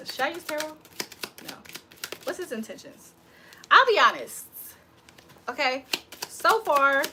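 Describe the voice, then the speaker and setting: Bright, chatty woman reading tarot live. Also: on the high side, a little hiss or hum. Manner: chatty, reads viewer comments aloud, slangy. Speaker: livestream tarot reader. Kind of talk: casual livestream chat.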